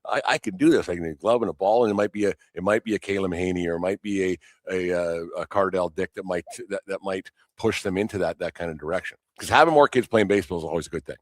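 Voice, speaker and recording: Fast, low-voiced man hosting. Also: no room sound, clean studio sound. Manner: rambling, repeats and restarts phrases mid-question. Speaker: sports podcast host. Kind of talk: casual unscripted sports interview.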